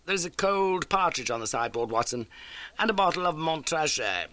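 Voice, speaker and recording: A man performs a keen, masterful detective from an audiobook, pitched up and swooping with theatrical relish. The delivery is bright, brisk and emphatic, every point put incisively. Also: very animated. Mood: incisive, wry. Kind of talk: audiobook character dialogue.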